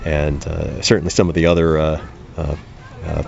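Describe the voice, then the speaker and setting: A man's voice: extremely low pitch, level tone, moderate pace, creaky. A man answering casually as an interview guest outdoors, modest and hesitant, feeling his way through his sentences. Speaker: interview guest, blogger and panelist. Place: outdoors in a park. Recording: dull sound with faint outdoor noise.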